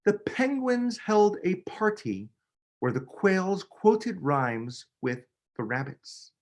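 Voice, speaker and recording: A man, a children's book author, reading a picture book aloud with expressive, dramatic emphasis. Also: high voice, slow. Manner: expressive, dramatic delivery with emphasis on key words.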